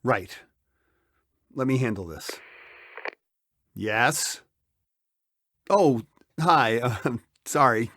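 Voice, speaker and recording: A man plays a middle-aged dad in an audio drama, easygoing yet flustered. His pitch moves around a lot as he tries to placate people, and the recording is close and dry. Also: expressive, very slow, pauses often, a bit bright, no room sound, full character voice. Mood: flustered, placating. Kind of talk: scripted audio drama acting.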